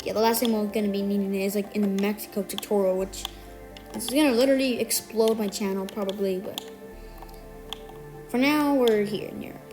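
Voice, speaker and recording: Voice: mid-range voice, steady tone, brisk. A tutorial maker who is a bit sick today talks step by step through a building tutorial, counting out blocks and correcting small slips along the way. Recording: music playing under the voice.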